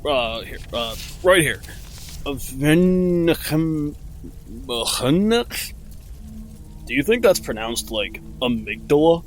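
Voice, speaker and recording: A man's voice: a little high, sing-song, takes it slowly, soft background music. An actor playing a bumbling, self-pitying teenage male cult leader in a comedic horror audio drama, clueless and petulant, talking in casual bro slang. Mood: clueless enthusiasm.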